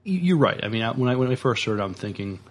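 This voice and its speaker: A man co-hosting a podcast, chatting casually in halting, hesitant phrases. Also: mid-range voice, animated. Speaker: podcast co-host. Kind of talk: casual discussion of sci-fi news.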